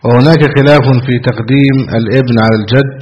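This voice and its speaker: A man's voice: medium pitch, steady tone, fast, darkish tone. A man from Egypt giving a religious lesson as a scholar, explaining legal points didactically and repeating phrases for emphasis. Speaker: Islamic scholar teaching a class. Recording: dull, noisy lo-fi classroom recording with clicks.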